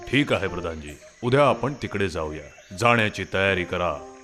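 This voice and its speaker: A man's voice: low voice, lively intonation, normal speed, fairly bright tone. A man playing an authoritative human king in a children's cartoon story, regal and commanding. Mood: inquiring, commanding.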